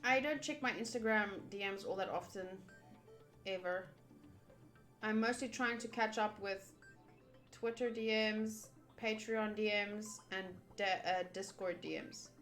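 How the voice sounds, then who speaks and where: A woman's voice: medium pitch, unhurried, fairly bright tone. A woman livestreaming a game, chatting casually and reading game text aloud as she reacts to it and to viewers. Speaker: streamer playing a visual novel. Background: game music.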